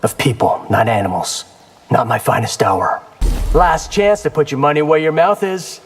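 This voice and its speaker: An actor playing a cocky, determined young warrior in a video game, casual and wry in delivery. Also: male voice, fairly high pitch, moderate pace, faint music behind, natural voice. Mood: confident. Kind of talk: video game character dialogue.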